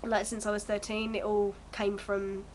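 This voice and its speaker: A woman's voice: medium pitch, completely flat delivery, moderate pace, a little mellow, a little hiss or hum, rough recording. A young woman in her early twenties, bunged up with a cold, chatting at home to her vlog camera in a rambling, hesitant way full of fillers. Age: early 20s. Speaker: vlogger. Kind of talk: casual chat to camera.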